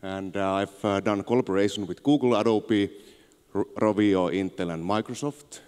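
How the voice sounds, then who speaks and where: A man in his thirties with a Finnish accent, giving an informal, somewhat halting conference presentation on a handheld microphone. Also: lowish voice, steady tone, a little mellow, clear, resonant tone. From Finland. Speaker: conference speaker, tech entrepreneur. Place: on stage in a large auditorium. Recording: echoey large hall, long reverb.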